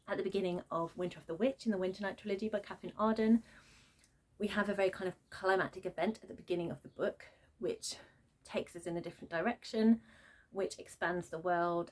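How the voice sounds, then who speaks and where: A woman giving a casual, unscripted book rant in an exasperated, sarcastic and emphatic manner, with a slightly low voice. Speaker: book reviewer.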